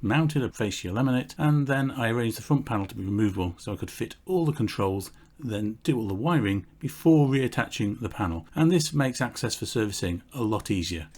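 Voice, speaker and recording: Husky man explaining a workshop build. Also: lowish voice, expressive, fairly quick, darkish tone. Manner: technical, matter-of-fact, thinking aloud with fillers. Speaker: electronics maker and channel host.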